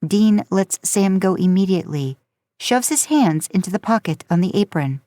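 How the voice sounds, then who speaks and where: A woman's voice: mid-range voice, animated, moderate pace, mellow, no echo, clean studio sound. A woman reading a story aloud in audiobook-style narration. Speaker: podcast host and fan fiction narrator.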